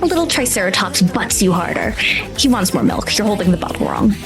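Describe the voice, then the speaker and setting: A woman's voice: medium pitch, sing-song, a little fast, over music, poor audio. A woman narrating an improvised tabletop game as game master, setting scenes in vivid, descriptive detail.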